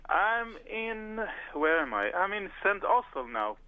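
A man's voice: high voice, big pitch swings, slow, no echo. A man who grew up in Israel, a touring drummer giving a phone interview, speaking hesitantly with many fillers and restarts. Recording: tinny phone line, dry.

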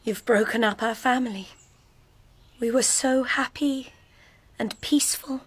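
A woman's voice: fairly high pitch, measured pace, breathy edge, no room sound. An actress playing a young wife and mother, tearful and reproachful toward her unfaithful husband in a radio play. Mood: bitter.

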